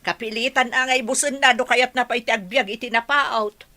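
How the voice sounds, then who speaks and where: A woman's voice: on the high side, fairly even intonation, brisk, bright, forceful tone. A woman playing a bossy, practical mother of a grown son in a radio drama. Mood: bossy, instructing.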